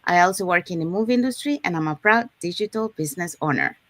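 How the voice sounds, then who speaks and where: Woman host with a low, close, dry voice. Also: lively intonation, fast talker, fairly bright tone, no room sound. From Panama. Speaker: host of an online business talk show. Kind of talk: live presentation to an online audience.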